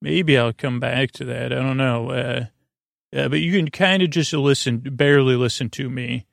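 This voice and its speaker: Creaky-voiced man rambling soothingly. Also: medium pitch, steady tone, no echo, clean studio sound. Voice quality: creaky, drawling. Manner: halting, stammering, digressive, with goofy tangents; lulling, soothing. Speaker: host of a bedtime sleep podcast. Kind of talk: rambling, meandering bedtime monologue.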